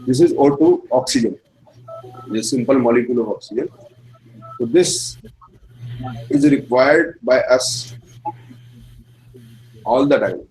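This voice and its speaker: A man's voice: medium pitch, a little slow, pauses often, darkish tone, slight background noise, rough recording. A man with an Indian accent, a native Hindi speaker, teaches an astrology class in simple English and often checks in with "right?". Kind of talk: classroom lecture.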